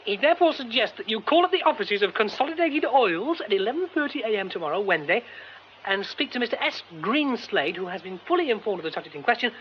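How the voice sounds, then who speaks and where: Excitable high-pitched young British theatre man. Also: fast. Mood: breathless excitement. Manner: exclamatory, effusive, breaking off mid-thought. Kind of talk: radio drama acting. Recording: dull, faintly noisy radio recording.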